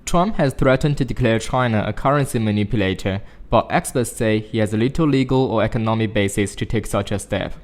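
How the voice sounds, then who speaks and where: A man reading short study passages aloud in a measured, formal way. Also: lowish voice. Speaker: reader of practice passages. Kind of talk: scripted read-aloud of study texts.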